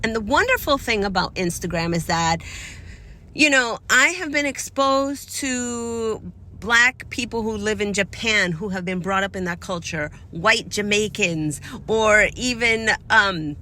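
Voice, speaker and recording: A woman's voice: mid-range voice, measured pace, pushed and bright. A young adult woman from the United States, talking frankly and in frustration straight to camera, swearing freely as she vents. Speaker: vlogger. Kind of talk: casual personal monologue.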